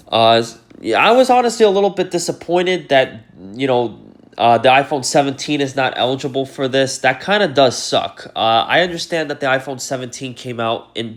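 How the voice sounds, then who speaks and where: Brief: male tech vlogger, congested from a cold, casual and rambling, mid pitch. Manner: rambling, lots of fillers like "uh" and "you know". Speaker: tech YouTuber. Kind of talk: casual talk to camera.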